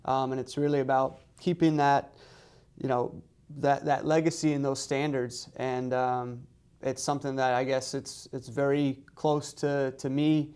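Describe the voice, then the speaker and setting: A man speaking as a head coach in an interview, in halting phrases with repeated words. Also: medium pitch, level tone, a little mellow, smooth. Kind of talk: media day interview answers.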